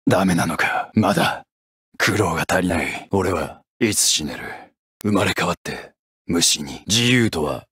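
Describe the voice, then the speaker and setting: A death-hungry gunman from an anime-style game. His voice is deep and husky, recorded very dry. He is scornful and listless, with menace underneath. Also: fairly bright tone, no room sound, heavily acted. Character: brooding death-seeking male gunman, scornful, longing for rebirth.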